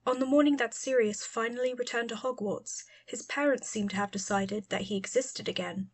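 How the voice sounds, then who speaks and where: A woman's voice: fairly high pitch, normal speed. Woman narrating an audiobook story.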